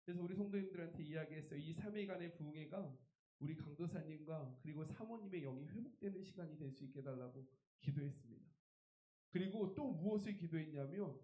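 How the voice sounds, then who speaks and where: A man's voice: a little high, warm tone, echoey room, lo-fi sound. A man preaching a revival sermon as a pastor, emphatic and putting rhetorical questions to his congregation. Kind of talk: Korean church revival sermon.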